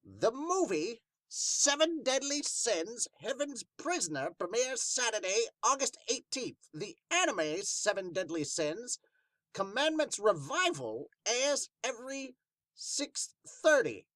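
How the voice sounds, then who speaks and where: A man putting on an upbeat announcer who reads out manga promotions in a drawn-out, emphatic style. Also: extremely high pitch, very animated, a bit bright, dry recording, pitched above the performer's own voice, exaggerated voice, poor audio. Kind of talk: scripted announcement reading.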